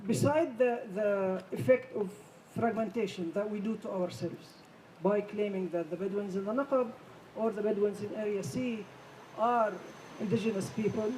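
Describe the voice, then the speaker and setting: Panelist: Palestinian woman lawyer, halting then forceful, dark voice, picked up from afar in a hall. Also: medium pitch. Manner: halting, many fillers, firm and emphatic. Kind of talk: panel discussion remarks. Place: conference hall. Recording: distant mic, faint room noise.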